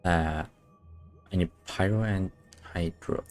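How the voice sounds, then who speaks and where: A man's voice: deep voice, slow pace, darkish tone. A man giving casual live commentary as he streams a game, muttering dry asides to it. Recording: game music behind the voice. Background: game music.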